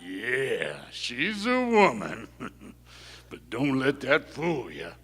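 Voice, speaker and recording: A man playing a gruff senior outlaw henchman with an American drawl in a live Western radio play. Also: mid-range voice, sing-song, very slow, fairly bright tone, heavily acted. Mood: gruff, knowing. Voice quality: gravelly, rough. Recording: live stage hall, long reverb.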